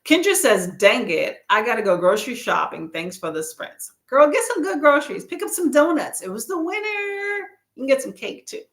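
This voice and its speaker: A woman from Texas in her thirties hosts a casual reading livestream, chatting with her viewers and laughing often. Her light Southern accent colors certain words, and her pitch rises and falls a lot. The room around her sounds echoey. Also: big pitch swings, moderate pace, hardly any pauses, fairly bright tone. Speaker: booktube livestream host. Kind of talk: casual live chat.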